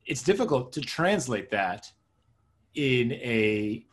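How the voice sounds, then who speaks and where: Male interview guest, middle-aged, native Hebrew speaker raised in New Jersey. Husky edge, mid-pitched, reflective, repeats phrases for emphasis. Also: sing-song, slow. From born in Israel, raised in suburban New Jersey. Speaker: interview guest, tech consultant and coach. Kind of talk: conversational interview answers.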